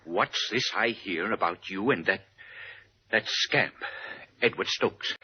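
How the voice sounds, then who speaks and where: Blustering, commanding colonel, old radio. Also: male voice, fairly low pitch, slow pace. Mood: angry, threatening. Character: jealous, blustering colonel, a vengeful wronged male lover. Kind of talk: 1940s-50s radio drama acting. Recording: dull noisy vintage radio recording.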